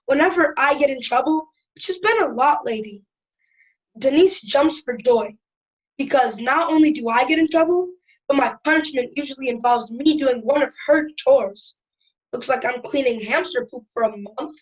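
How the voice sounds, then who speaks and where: Cheeky schoolboy narrator voiced by a woman: high, wry, chatty, telling family troubles in an audio drama, slightly muffled sound. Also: moderate pace, lightly acted. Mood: wry, rueful. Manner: wry, conversational. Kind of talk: first-person storytelling in audio drama.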